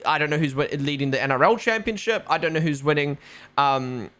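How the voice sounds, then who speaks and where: A man's voice: fairly high pitch, lively intonation, fairly quick, fairly bright tone. A young man with a New Zealand accent, rambling casually as a live stream host, full of fillers and swearing. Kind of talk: casual live watch-along chat.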